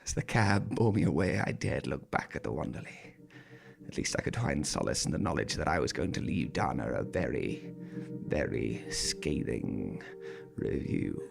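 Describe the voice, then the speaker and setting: A man performing a theatrical horror monologue as a haunted man dwelling on gruesome details, with a husky, gravelly voice. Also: very deep voice, very animated, moderate pace, music in the background, exaggerated voice. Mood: anguished, wry. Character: haunted man recounting a grisly hotel nightmare, wry and dramatic. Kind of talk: dramatic monologue performed on stage.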